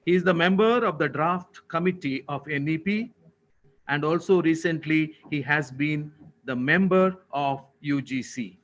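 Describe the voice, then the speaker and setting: Formal Indian man, higher-pitched voice. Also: rough recording. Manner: deliberate, courteous, ceremonious phrasing. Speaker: college registrar giving vote of thanks. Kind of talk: formal prepared thank-you speech.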